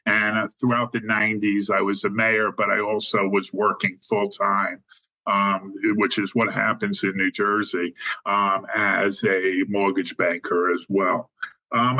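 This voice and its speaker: A man in his sixties with a strong New York accent, telling his story in a loose, chatty way full of "um"s and wry jokes about himself. His voice sits low but rings bright and forward. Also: poor audio. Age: 60s. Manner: chatty, self-deprecating, filler-heavy. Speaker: guest, mortgage insurance sales executive. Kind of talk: conversational podcast interview.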